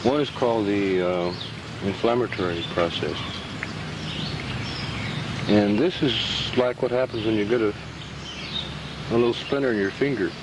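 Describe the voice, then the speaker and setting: A man explaining a subject informally and haltingly, recorded outdoors on 1970s reel-to-reel video. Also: lowish voice, noisy background. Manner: halting, with frequent throat clearing. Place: outdoors beside a pond.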